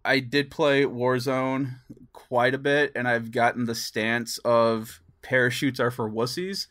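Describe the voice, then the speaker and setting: A man co-hosts a casual gaming podcast with a mid-range voice. He tells stories in an animated way, chuckling as he goes and acting out bits of dialogue. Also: medium pitch, measured pace. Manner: chuckles through stories, acts out quoted dialogue. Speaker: podcast co-host.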